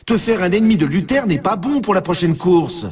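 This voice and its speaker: An excitable snowboard race announcer for a video game. His voice sits high and swings widely in pitch, heard from a little way off the mic. He is emphatic and familiar, needling and warning the player like a hyped sports commentator. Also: brisk, recorded from a distance. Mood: teasing warning.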